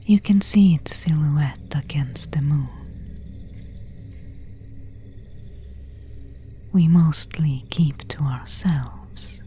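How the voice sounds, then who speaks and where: A woman voicing an elegant vampire countess in a whispered ASMR roleplay, courteous and quietly predatory, with formal, old-fashioned diction. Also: sits low, slow pace, slight background noise. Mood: softly guarded. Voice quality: breathy whisper.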